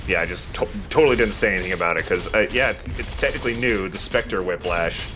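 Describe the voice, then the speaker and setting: A man's voice: sits low, fast. A man giving casual, joking and profane commentary while playing a video game. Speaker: let's play host playing the game. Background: game music behind the voice.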